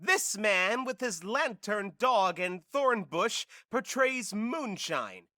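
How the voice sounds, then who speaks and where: A man's voice: sits high, lively intonation, slow pace, sharp tone, no echo, clean studio sound, full character voice. A man playing an earnest amateur actor who recites a prologue in a theatrical, declamatory way for an audio drama. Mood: earnest, grandiose. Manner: overblown, declamatory.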